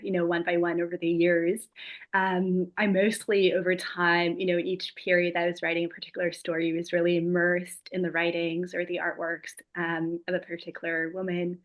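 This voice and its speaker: A woman author on a video call, talking about research for her book in an earnest, measured way with many ums. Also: fairly low pitch, dull audio. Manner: earnest, measured, lots of ums and "you know". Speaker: author guest.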